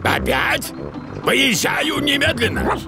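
A man voicing a gruff old grandfather dog mechanic with a gravelly voice in a children's cartoon. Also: very high-pitched, huge pitch swings, slow pace, exaggerated voice. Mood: gruff, puzzled. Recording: loud music behind the voice.

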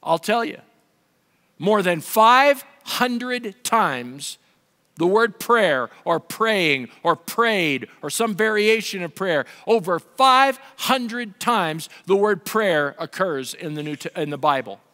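Animated high-voiced preacher in his fifties. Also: takes it slowly. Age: 50s. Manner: animated, gesturing preacher with wide swings in pitch and volume. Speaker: pastor. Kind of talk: sermon. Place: large dark stage with a pulpit. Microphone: headset. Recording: long hall reverb.

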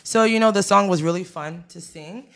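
A woman's voice: lowish voice, moderate pace, fairly bright tone. Young woman singer talking casually. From Ghana, raised in the United States. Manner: easygoing, many fillers like "you know" and "um". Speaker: singer interviewee. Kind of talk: casual interview answers. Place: studio with a teal backdrop. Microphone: handheld mic close to the mouth.

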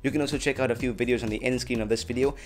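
A man's voice: mid-range voice, steady tone, rapid-fire. Young man hosting a casual tutorial, music behind. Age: young adult. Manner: jokey, chummy address to viewers. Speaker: tutorial host. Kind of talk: scripted, casual video tutorial. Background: background music.

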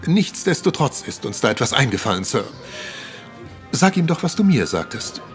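An actor playing a stiff, testy, condescending English gentleman doctor in a retro radio play, speaking formally and dryly. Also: mid-range voice, big pitch swings, normal speed, faint music behind, natural voice. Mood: stiff, polite. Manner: formal, dry. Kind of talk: retro German detective radio play acting.

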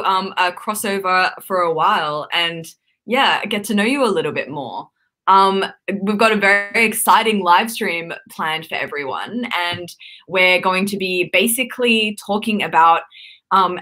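A woman's voice: medium pitch, sharp tone. A woman in her twenties with an Australian accent, chatting and laughing on a video call from a room at home, with lots of ums. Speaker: YouTuber co-hosting a live stream. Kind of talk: casual chat. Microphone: webcam on a video call.

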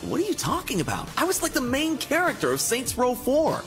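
A man's voice: extremely high pitch, wildly swooping pitch, a little fast, over music, exaggerated voice. A man playing an earnest, put-upon techie guru sidekick in a video game, easily indignant. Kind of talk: video game cutscene acting.

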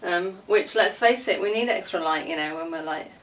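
Female vlogger, 40s, mid-pitched, casual room-tour chat, recorded a little away from the mic in a home room with faint noise. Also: moderate pace. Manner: informal, rambling asides while moving about showing things. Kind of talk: casual chatty vlog talk. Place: indoors, small home dressing room. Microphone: camera a few feet away. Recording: distant camera mic, background noise and clicks.

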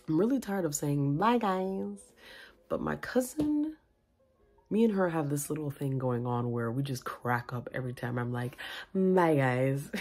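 A woman's voice: lowish voice, a little mellow. A young woman vlogging from home into a phone on a tripod, chatty and rambling, tired late at night. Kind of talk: casual late-night vlog chat. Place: small room at home, indoors.

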